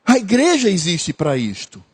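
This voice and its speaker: A man's voice: high-pitched, wildly swooping pitch, moderate pace, darkish tone, breathy edge. A man preaching a sermon as pastor, repeating phrases earnestly and hesitating at times.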